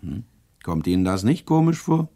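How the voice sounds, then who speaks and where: A man acting a shrewd, hard-boiled prosecutor who interrogates with sardonic pressure in a German radio drama, gravelly in voice. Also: deep voice, sing-song, moderate pace, mellow, exaggerated voice. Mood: probing, sardonic.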